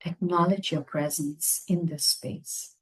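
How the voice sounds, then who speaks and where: A woman leading a guided meditation, speaking gently in unhurried phrases with long pauses. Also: deep voice, fairly even intonation, slightly rough, poor audio. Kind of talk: guided meditation, slow soothing instruction.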